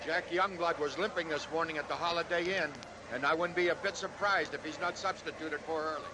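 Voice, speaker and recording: A man's voice: fairly high pitch, fairly even intonation, brisk, background noise. Confident man making football predictions. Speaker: studio analyst. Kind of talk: studio sports analysis.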